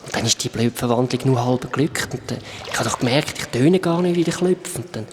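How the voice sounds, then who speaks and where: A man's voice: mid-range voice, fairly quick, slight background noise, natural voice. A man with a Swiss German accent playing a friendly shape-shifting creature from another world who patiently explains things in a children's radio play. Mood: kind, explaining.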